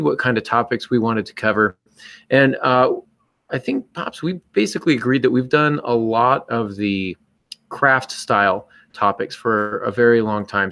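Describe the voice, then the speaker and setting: A man's voice: mid-range voice, a bit bright. A man hosting a podcast, chatting casually with a bantering, joking manner. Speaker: podcast host. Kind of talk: casual live podcast chat.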